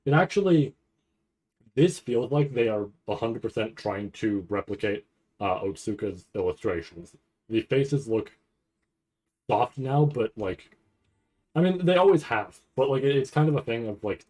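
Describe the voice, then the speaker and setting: A man with a lowish voice talks through footage in an informal, unscripted way. He thinks aloud as he goes, halting and repeating words while he works out what he is looking at. The delivery is that of a casual streamer's commentary. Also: animated, a little mellow. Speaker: anime reaction streamer. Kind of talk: casual off-the-cuff trailer breakdown commentary.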